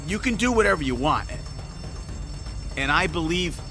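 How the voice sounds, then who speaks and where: Higher-voiced, earnest crisis negotiator. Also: male voice, expressive, over music. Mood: tense, soothing. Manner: placating, careful, pausing. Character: earnest negotiator calming an armed man. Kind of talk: radio drama acting.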